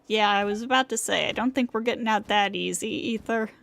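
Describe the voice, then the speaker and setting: A woman's voice: mid-range voice, a little fast, gravelly, a bit bright, no room sound. A woman game streamer giving casual live commentary in a playful, wry manner. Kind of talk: casual live gameplay commentary, reading dialogue aloud. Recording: game music behind the voice.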